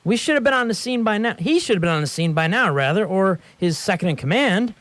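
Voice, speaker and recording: An actor playing an eager, deferential, slightly fussy police subordinate in a radio play, hesitant and polite. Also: male voice, high voice, animated, fast talker, a bit bright, dry recording. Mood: earnest, precise. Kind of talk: radio theatre acting.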